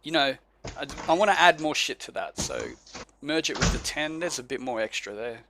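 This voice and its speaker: A man's voice: fairly high pitch, animated, brisk, heavy background noise. A man with an Australian accent, co-hosting a casual podcast in a laid-back way, chuckling and stumbling over names as he reads them out. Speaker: podcast co-host. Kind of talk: casual chat.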